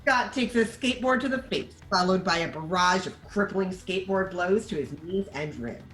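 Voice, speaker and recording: A woman's voice: lowish voice, moderate pace, a bit bright, rough recording. A woman narrator reading the stage directions of a screenplay in scripted narration.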